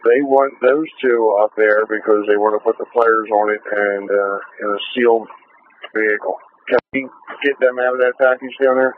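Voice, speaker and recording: A man's voice: lowish voice, fairly even intonation, normal speed. Male officer on tinny police radio. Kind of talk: informal radio traffic. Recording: lo-fi noisy phone-like police scanner radio.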